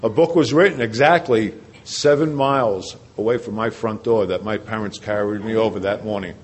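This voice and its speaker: A man's voice: moderate pace, husky. Older New man giving his testimony. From New Jersey. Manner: conversational and self-deprecating, drops his voice low and quiet for emphasis. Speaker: recovery speaker at a large convention. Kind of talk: personal testimony talk. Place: large convention hall.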